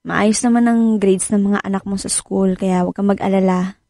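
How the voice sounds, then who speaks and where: A woman's voice: medium pitch, fairly even intonation, a little fast, vocal fry, dark tone, dry recording, studio quality, lightly acted. Woman acting caring wife in radio drama. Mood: reassuring. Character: caring, inquisitive Filipino wife and mother in her thirties. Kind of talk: naturalistic radio drama dialogue. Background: music bed.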